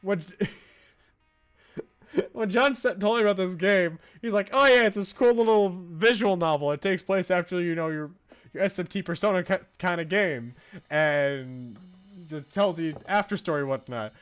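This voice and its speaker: A man's voice: sits high, normal speed, smooth. A man chatting casually on a livestream as a game streamer, enthusiastic and informal in how he talks.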